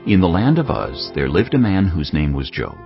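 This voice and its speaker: A man narrating scripture in a grave, measured way. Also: low-pitched, big pitch swings, gravelly. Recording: dull lo-fi recording. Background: cinematic music.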